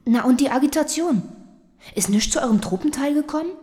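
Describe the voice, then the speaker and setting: An actress in a German radio play voicing a fervent socialist factory worker and daughter, eager, emphatic and colloquial. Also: female voice, high voice, lively intonation, a little fast, mellow, long reverb tail. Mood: prodding.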